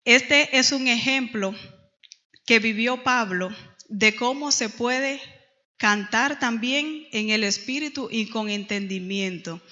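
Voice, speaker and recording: Bright-voiced Dominican woman teaching in church. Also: on the high side, takes it slowly. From Dominican Republic. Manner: storytelling with many hesitations. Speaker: Bible class teacher. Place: church hall. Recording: dull lo-fi church recording with long reverb.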